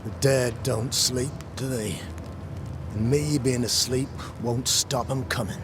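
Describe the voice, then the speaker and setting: An actor playing a weary, stubborn soldier in a video game, with rough colloquial speech and a gravelly voice. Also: male voice, mid-range voice, steady tone, slow pace, noisy background, lightly acted. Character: weary, proud, self-deprecating male soldier on watch. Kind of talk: video game cutscene acting.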